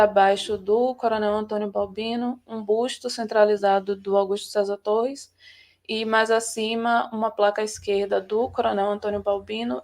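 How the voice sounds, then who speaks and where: A woman's voice: mid-range voice, a little mellow. A woman from Salvador presenting her research paper at a remote academic conference, walking through her slides informally and correcting herself as she goes. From Salvador, Bahia, Brazil. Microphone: computer mic for a remote talk.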